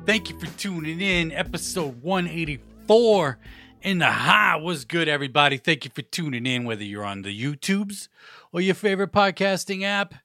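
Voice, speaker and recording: A man's voice: a little high, expressive, normal speed, no echo, studio quality, faint music behind. A young adult man hosting a casual hip hop podcast, talking loosely with slang and cursing, his nose stuffed up. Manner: loose, profane, slangy talk with shout-outs. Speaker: podcast host.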